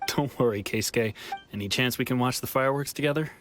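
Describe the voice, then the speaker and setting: A man's voice: mid-range voice, fast, a bit bright, slight background noise, lightly acted. A man playing a wistful, candid young returned wanderer in an anime game, hesitant, reflective and sincere. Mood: tentative. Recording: music clearly behind the voice. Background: game music.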